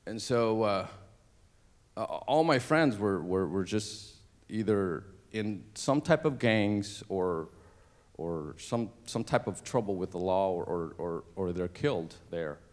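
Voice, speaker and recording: A middle-aged man from Cambodia, a native Cambodian speaker, telling his life story on a church stage into a handheld mic, halting with many fillers and restarts. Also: low-pitched, animated. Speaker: interview guest. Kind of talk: personal testimony on stage.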